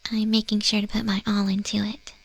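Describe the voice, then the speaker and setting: A woman voicing a gentle, sincere moth monster girl tattoo artist in a soft, breathy, tender ASMR roleplay. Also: mid-range voice, monotone, a little fast, no room sound. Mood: tender, earnest.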